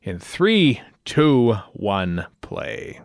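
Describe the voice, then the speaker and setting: A man's voice: mid-range voice, very animated, drawn-out words, clean tone, no echo, clean studio sound. A man hosting a podcast, chatting casually over an episode in a jokey, rambling way. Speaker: podcast host. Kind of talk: casual episode commentary chat.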